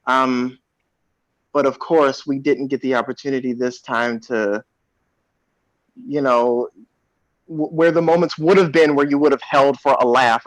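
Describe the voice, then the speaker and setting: Male panelist, mid-pitched, thoughtful and measured, recorded dry. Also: no room sound, rough recording. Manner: reflective, measured, searching for words. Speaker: actor on a panel. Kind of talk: casual panel chat.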